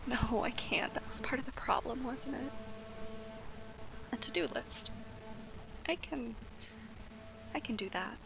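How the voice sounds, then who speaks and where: A woman's voice: on the high side, takes it slowly, vocal fry, pauses often, in a whisper, rough recording. An actress playing an anxious, self-berating young girl in an audio drama, muttering breathily to herself. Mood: anxious, resolving.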